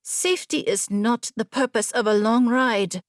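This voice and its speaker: A woman's voice: a little high, a little slow, no room sound, studio quality, close to an everyday voice. A woman playing a proud young noblewoman rider in a storybook, bold, challenging and adventurous. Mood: defiant. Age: young adult. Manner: bold, challenging, scornful of caution.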